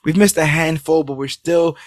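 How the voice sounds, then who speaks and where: Dude hosting a podcast, kinda high voice, hypes up the merch and socials, loose rambly talk. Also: male voice, moderate pace, dry recording, clean studio sound. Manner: rambling, upbeat, hype-driven promo talk. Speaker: podcast host. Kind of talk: casual podcast outro and plugs.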